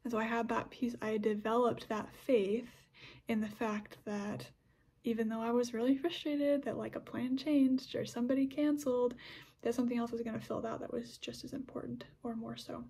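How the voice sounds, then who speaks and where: Breathy woman in her twenties, slightly high pitch, chatty podcast host who rambles and restarts. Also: flat delivery, moderate pace, dark tone. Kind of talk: casual scripture discussion podcast.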